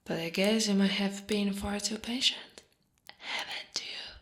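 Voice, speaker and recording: Italian woman doing a female orc royal guard for asmr, super slow, soft breathy half whispered, bossy but tender and teasing. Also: mid-range voice, little pitch movement, natural voice. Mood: flirty, coaxing. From Italy. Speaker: ASMR roleplay performer. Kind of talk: intimate ASMR roleplay.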